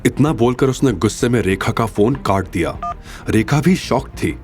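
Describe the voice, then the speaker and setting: A man narrating a scripted audio drama in an expressive, suspenseful way. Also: medium pitch, moderate pace. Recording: music bed behind.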